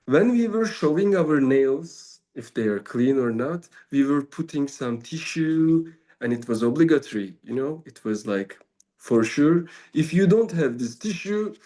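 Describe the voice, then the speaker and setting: Turkish-accented man, mellow tone, halting unscripted teaching talk, non-native English. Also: a little high, expressive. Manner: halting, searching for English words, drops Turkish fillers. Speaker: Sufi mentor and speaker. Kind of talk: informal spiritual talk.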